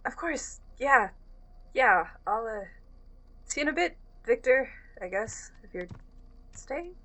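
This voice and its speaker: A woman's voice: fairly high pitch, animated, slow pace, fairly bright tone, full character voice, rough recording. A woman playing a breezy but uneasy young woman in an audio drama, casual and hesitant. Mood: awkward, breezy. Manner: hesitant, casual, filler-laden. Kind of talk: naturalistic audio drama acting.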